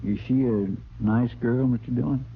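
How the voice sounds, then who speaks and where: Actor as a naive folksy deputy, tinny old radio. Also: male voice, medium pitch, steady tone, moderate pace, creaky, slight background noise, close to an everyday voice. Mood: earnest, curious. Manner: hesitant, earnest, folksy. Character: naive, loyal, deferential male deputy sidekick. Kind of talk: old-time radio western acting.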